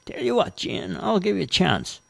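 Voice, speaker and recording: A man's voice: high-pitched, sing-song, moderate pace, gravelly, a bit bright, no room sound, voice pushed up, exaggerated voice. A man voicing a matey, no-nonsense male car dealership manager in a told story, blunt but friendly. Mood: impressed, firm.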